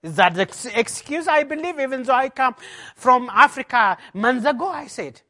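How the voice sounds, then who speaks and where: A man's voice: very high voice, expressive, moderate pace, pushed and bright. A man pastor from Ethiopia with an Ethiopian accent, giving an emphatic personal testimony as a conference talk in non-native English.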